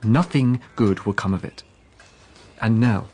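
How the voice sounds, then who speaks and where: Stiff gentleman master, fairly low voice, reserved, unbending, period radio play. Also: measured pace. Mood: coldly resolute. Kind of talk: radio drama acting.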